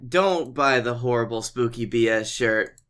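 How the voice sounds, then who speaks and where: A man with a bright, forward voice talks as a comedy podcast co-host. He rambles through casual anecdotes and plugs with wry, salty asides. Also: medium pitch, a little slow, clear, resonant tone.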